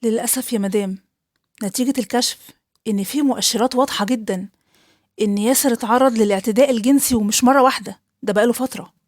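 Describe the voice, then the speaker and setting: Woman playing a calm Egyptian male doctor. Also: a little high, fast, no room sound, clean studio sound, natural voice. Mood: grave. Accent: Egyptian Arabic.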